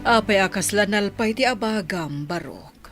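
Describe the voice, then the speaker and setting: A woman's voice: mid-range voice, expressive, normal speed, music in the background, natural voice. A woman with an Ilocano accent playing a concerned, protective godmother in a radio drama. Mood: concerned, indignant.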